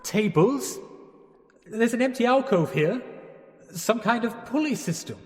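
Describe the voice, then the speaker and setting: An actor playing a bumbling, polite young English gentleman in an audio drama, hesitant and bewildered. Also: very high-pitched, lively intonation, unhurried, background noise. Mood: uneasy. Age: young adult. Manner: hesitant, genteel, flustered. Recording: long hall reverb, music behind.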